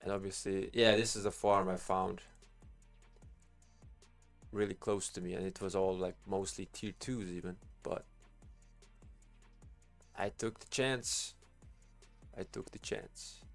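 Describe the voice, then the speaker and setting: A man's voice: deep voice, steady tone, slow pace, gravelly, long pauses, faint music behind. A man giving casual, rambling game commentary as a live streamer, thinking aloud in informal language. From Bosnia and Herzegovina.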